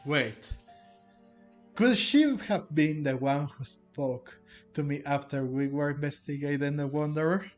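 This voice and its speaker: A man giving casual reaction commentary on a game, halting as he thinks aloud. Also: on the high side. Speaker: gameplay video commentator. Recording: dull lo-fi microphone. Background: game music.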